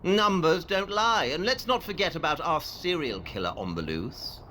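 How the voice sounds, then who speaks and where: Aloof, logical detective, played by a man, crisp bright tone, lively inflection, radio play with faint noise behind. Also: fairly high pitch, moderate pace. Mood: cool, detached. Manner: detached, matter-of-fact, clipped. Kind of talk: audio drama acting.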